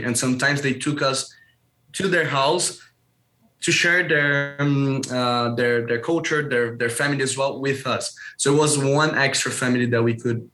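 A young Brazilian man speaks English as a second language, with a Portuguese-shaped accent. His voice sits at an average pitch. He gives casual interview answers in a halting way, often searching for the right word. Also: a bit bright. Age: young adult. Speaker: interview guest, school alumnus.

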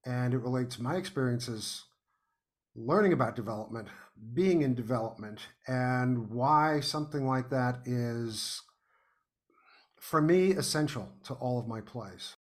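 A middle-aged or older American man from New York City, chatting casually as an interview guest and telling anecdotes in an animated way. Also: mid-range voice, a little slow. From New York City, United States. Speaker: podcast guest, playwright.

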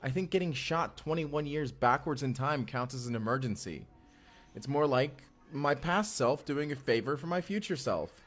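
A man's voice: mid-range voice, expressive, moderate pace, a bit bright. An actor playing an earnest, anxious young man stranded from the future, talking in a hesitant, rambling, conversational way in an audio roleplay. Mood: defensive. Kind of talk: first-person audio roleplay acting. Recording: music behind the voice.

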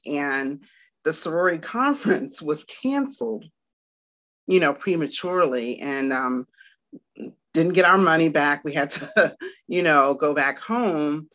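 Slow-talking woman from Washington, D.C. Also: deep voice, fairly bright tone, highs cut off. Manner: unhurried, with many "um" pauses and small laughs. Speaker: guest, Montessori teacher. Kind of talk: casual interview answers.

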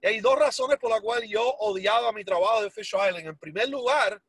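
A man in his forties with a Cuban Spanish accent, telling his life story as an interview guest in a lively, self-deprecating way, slipping in English words. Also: sits high, fairly quick, husky, no room sound. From Miami, United States. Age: 40s.

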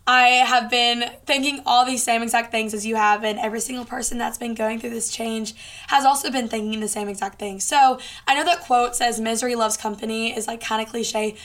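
Hoarse, high-voiced young woman podcasting. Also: fairly even intonation, a little fast, fairly bright tone. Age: late teens. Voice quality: hoarse, raspy. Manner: informal, earnest, encouraging, peppered with "like". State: hoarse from a lot of talking. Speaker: podcast host. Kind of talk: casual solo podcast chat.

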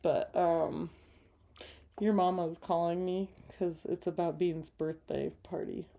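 A young woman co-hosts a relaxed chat with her partner. She sounds bubbly and expressive, laughing readily and gasping when surprised. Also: lowish voice, vocal fry. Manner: bubbly, laughs often, quick gasps of surprise. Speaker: podcast co-host. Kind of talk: casual couple's chat.

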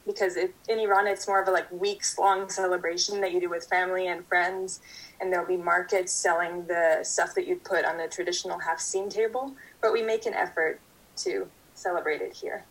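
A young woman from Canada, a guest answering interview questions in a steady, thoughtful way. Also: fairly low pitch, a little fast, low-quality recording. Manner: thoughtful, steady, speaks in full sentences.

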